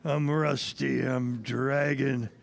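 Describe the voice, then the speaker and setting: A man playing a gloomy, tired old rusty freight engine in children's audio theater, groaning wearily and haltingly. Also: medium pitch, flat delivery, vocal fry. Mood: weary, gloomy.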